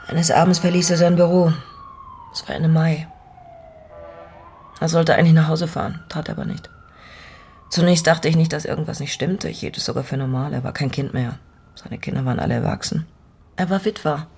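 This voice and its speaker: A woman's voice: low-pitched, steady tone, a little fast, vocal fry, pauses often, a little mellow, husky, full character voice. An actress playing a lonely middle-aged woman in an intimate radio drama, playful yet haunted by her vanished father, with a reflective, teasing way of talking. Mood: grave, remembering.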